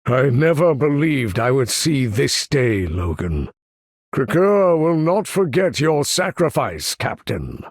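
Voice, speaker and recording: A proud older mutant commander in a video game, slow and deliberate, with a husky rasp. He speaks with formal, theatrical grandeur and lofty disdain, swinging widely in pitch. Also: sing-song, dry recording, clean studio sound. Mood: solemn, warm. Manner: formal, theatrical, measured, condescending delivery. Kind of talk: video game character voice lines.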